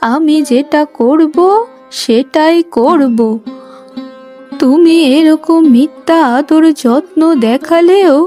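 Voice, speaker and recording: Unyielding old mother-in-law for animation, played by a woman; measured, soft timbre, scolding, over background music. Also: sits high. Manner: deliberate, admonishing. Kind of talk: cartoon voice acting.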